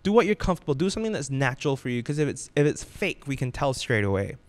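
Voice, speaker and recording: Lively, animated man on a podcast. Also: mid-range voice, fast talker, no echo. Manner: animated, expressive storyteller. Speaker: radio DJ, guest. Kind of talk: casual podcast interview.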